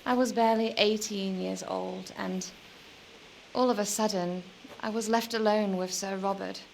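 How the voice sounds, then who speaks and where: An actress playing a weary, lonely young medieval noblewoman in a video game, speaking in a measured, formal and wistful way. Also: medium pitch, fairly even intonation, gravelly, a little mellow, a little hiss or hum, natural voice. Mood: sorrowful. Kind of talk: dramatic video game dialogue.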